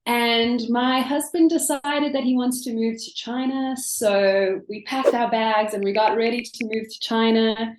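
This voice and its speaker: A woman's voice: a little high, roomy sound, rough recording. A woman from South Africa with a South African accent, an English teacher chatting as a guest in a casual interview. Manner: chatty, animated, frequent fillers.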